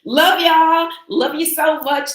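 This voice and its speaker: A woman hosting a livestream in casual chat, animated, reading viewer comments aloud, her voice a little raspy from travel. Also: high-pitched, slow pace, reverberant. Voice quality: slightly raspy voice. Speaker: livestream host.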